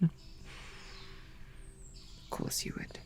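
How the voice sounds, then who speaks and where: A woman playing a snarky, devoted female knight, stammering and flustered, often dropping to soft whispers. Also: extremely slow. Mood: tender. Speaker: audio drama performer. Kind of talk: romantic audio roleplay.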